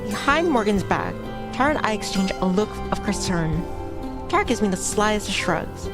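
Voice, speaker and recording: A woman's voice: mid-range voice, big pitch swings, normal speed. A woman streamer reading a visual novel aloud, with casual, chatty asides between passages. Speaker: VTuber streamer. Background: background music.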